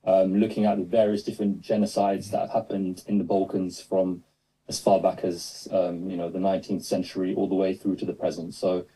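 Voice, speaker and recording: Young man from london, low husky voice, hesitant, video call. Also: flat delivery, brisk, low-quality recording. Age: 20s. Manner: soft-spoken, hesitant, many fillers. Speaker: guest, new staff member. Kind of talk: casual interview over video call.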